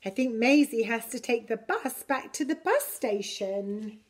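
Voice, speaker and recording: A middle-aged woman reading a picture book to young children in an expressive, deliberate way. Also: on the high side, breathy edge. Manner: slow, deliberate, expressive delivery for little children. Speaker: preschool story reader. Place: preschool classroom, indoors. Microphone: camera a short distance away.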